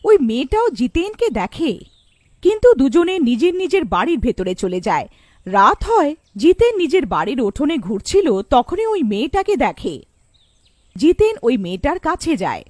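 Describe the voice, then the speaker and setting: A woman narrates a scripted children's story. Her voice is high with very lively intonation. The recording is dry, without room echo. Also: normal speed.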